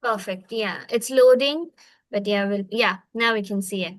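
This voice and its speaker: A woman's voice: mid-range voice, normal speed, dry recording. A woman hosting an online cultural exchange on a video call, moderating informally.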